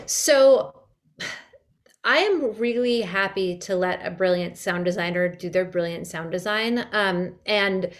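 A woman chatting in an interview, halting and emphatic, repeating words as she thinks. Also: mid-range voice. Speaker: director and audio drama maker. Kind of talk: casual interview chat.

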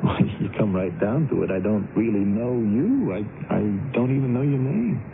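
An actor in a 1970s radio drama playing an easygoing, smitten young motorcyclist who talks in a casual, halting, colloquial way. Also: male voice, medium pitch, expressive, lightly acted. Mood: smitten, teasing. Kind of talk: 1970s radio drama acting, dialogue and narration. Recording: noisy phone-like old radio broadcast.

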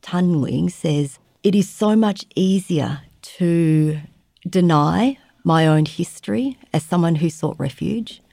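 A woman in her forties from Vietnam, raised in Australia, speaking as an interview guest, telling her story in a measured, reflective way. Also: fairly low pitch, gravelly, dark tone, no room sound, studio quality. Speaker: guest, trauma therapist. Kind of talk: interview conversation.

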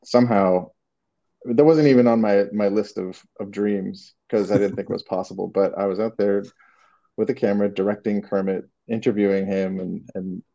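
A man's voice: on the low side, steady tone, normal speed, creaky, dark tone, highs cut off. A man from Canada with a Canadian accent, answering interview questions casually and hesitantly, with many restarts. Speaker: creative director, guest.

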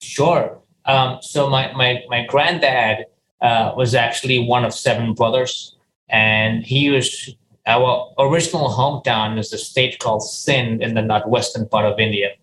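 A man's voice: mid-range voice, fairly even intonation, measured pace, a bit bright, roomy sound, slight background noise, rough recording. A man from Ghana, a company founder, answering interview questions earnestly, often restarting his sentences and saying "you know".

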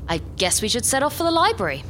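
A woman playing a brisk, scheming but tactful female spaceship captain in a live sci-fi comedy audio drama. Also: fairly high pitch, big pitch swings, over loud music. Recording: live stage recording with faint noise.

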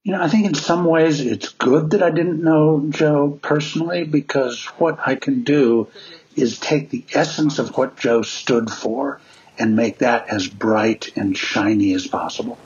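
A man's voice: mid-range voice, measured pace, a little mellow. An older man, a theater director, talking in an interview. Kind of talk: interview talk. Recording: dull lo-fi sound with clear noise.

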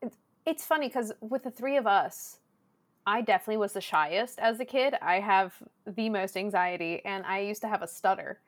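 A woman from Southern California, a podcast guest chatting casually and acting out quotes in a loud, lively way. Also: medium pitch, moderate pace. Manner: loud, animated storyteller who acts out quotes.

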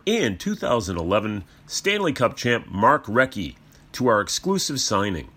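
A man's voice: mid-range voice, fairly bright tone. A man delivering a scripted promotional read as the sponsor of a show.

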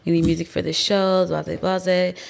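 A young woman from Guyana, a guest singer talking quickly and casually in a podcast interview, with many fillers. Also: fairly low pitch, flat delivery, creaky. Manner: fast, chatty, many "like" fillers.

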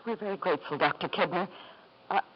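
A woman's voice: on the low side, fast talker, close to an everyday voice. A woman acting a fragile, devoted mother in a 1950s radio drama, emotional and plaintive. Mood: worried. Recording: muffled, noisy 1950s radio broadcast.

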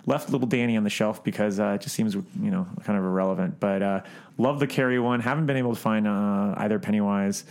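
A man co-hosting a podcast in casual chat, with a halting, rambling way of talking. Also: fairly low pitch, darkish tone. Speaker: podcast co-host. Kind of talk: casual chat about books, films and collectibles.

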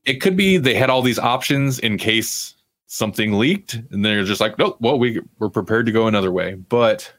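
A man's voice: fairly low pitch, moderate pace, a bit bright, no room sound. A man hosting a casual pop culture talk show in a wry, conversational way. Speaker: podcast host.

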